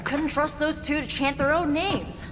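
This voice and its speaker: A woman's voice: sits high, fairly even intonation, a little slow, heavy background noise. An actress playing a defiant, sardonic young female hero in a video game cutscene, talking informally and punchily. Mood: sarcastic.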